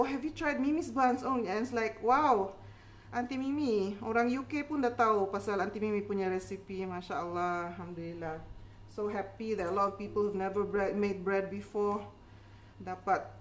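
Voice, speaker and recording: A middle-aged woman talks as a home cooking host. Her voice sits at a medium pitch. She is encouraging and informal, explaining each step as casual chat and often adding small tags to check that listeners follow. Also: normal speed, slight background noise. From Philippines. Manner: encouraging, chatty, reassuring, with tag questions like okay. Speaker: home baking instructor and cooking show host. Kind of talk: casual cooking demonstration, code-switching chat.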